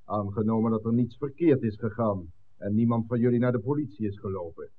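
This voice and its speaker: An actor playing a cold, commanding gang boss in a 1960s radio drama, giving measured threats and curt orders. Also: male voice, lowish voice, close to an everyday voice. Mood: controlled, threatening. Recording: tinny, band-limited old radio recording.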